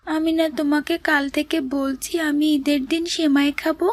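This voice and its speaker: A woman plays a hungry little boy in a cartoon drama. The voice is high-pitched and childish, insistent and whining, yet tender-hearted. It is recorded very dry. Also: flat delivery, normal speed, no echo, close to an everyday voice. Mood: whiny, demanding.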